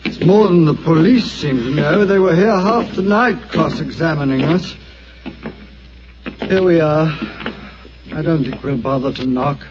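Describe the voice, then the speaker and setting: An actor playing an agitated gentleman in an old radio drama, quick to question. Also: on the high side, lightly acted. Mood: anxious. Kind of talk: 1940s radio acting. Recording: noisy lo-fi old radio recording.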